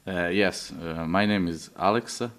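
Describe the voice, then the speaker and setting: Very low-voiced hesitant young man. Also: a little slow, a bit bright. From Serbia. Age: 20s. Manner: hesitant, many fillers and restarts. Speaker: interviewee. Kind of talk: personal testimony.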